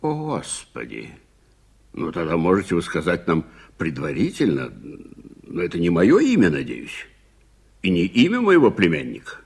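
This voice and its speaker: An actor in a Soviet radio play playing an irritable, impatient middle-aged gentleman, brusque and commanding. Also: deep voice, huge pitch swings, normal speed, vocal fry, pauses often, slightly rough, full character voice. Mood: irritated, impatient. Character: irritable, impatient gentleman suspect, uncle of a nephew.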